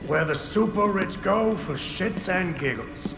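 An actor playing a sly, guarded crime boss and bitter ex-convict in a video game, cagey and sardonic. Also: male voice, high voice, expressive, unhurried. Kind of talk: video game villain acting. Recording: roomy echo under clear music.

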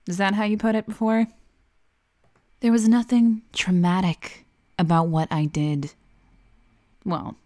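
A woman acts out a criminally insane asylum inmate for an audio roleplay. Her voice sits on the low side for a woman. She is theatrical and mocking, needling with sarcasm and showing no remorse at all. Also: dark tone, lightly acted. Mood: sarcastic, taunting, then cold, remorseless. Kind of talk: ASMR-style dramatic monologue roleplay.

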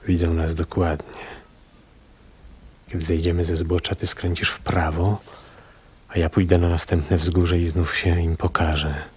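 An actor playing a stern, weary fisherman on the run in a radio drama, speaking in terse, hushed commands. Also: sits low, little pitch movement, unhurried, breathy edge, exaggerated voice. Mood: resolute, commanding. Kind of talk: Polish radio drama acting. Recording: radio drama with music behind.

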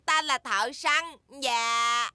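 A woman's voice: on the high side, drawn-out words, pushed and bright, smooth, full character voice. A woman voicing a small, hesitant animal schoolboy in a dubbed children's cartoon. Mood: shy, hesitant.

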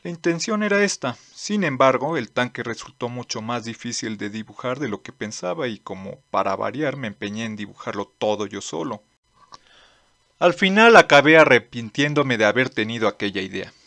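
Man, scripted manga narration, bright tone, medium pitch. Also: animated, moderate pace, dry recording.

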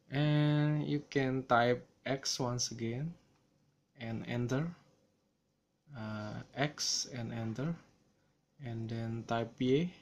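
A man from Indonesia with an Indonesian accent, talking through a software tutorial in a slow, hesitant way with many fillers, recorded on a smartphone. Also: medium pitch. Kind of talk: screen-recorded coding tutorial. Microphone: smartphone camera filming screen.

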